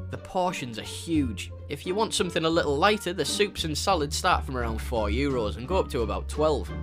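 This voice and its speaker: A young man from northeast England with a northeast English accent, narrating a travel vlog voice-over with cheeky, jokey asides. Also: on the high side. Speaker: travel vlogger. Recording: voice-over with clear music bed.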